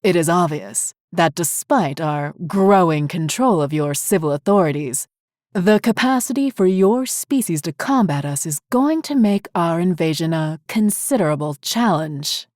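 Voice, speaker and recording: A coldly formal undead male agent from an alien invasion , played by a woman reader. The speech is grandiose and measured, in the manner of a horror storybook villain, on a close, dry recording. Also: on the low side, expressive, a little mellow, no room sound. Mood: cold, menacing.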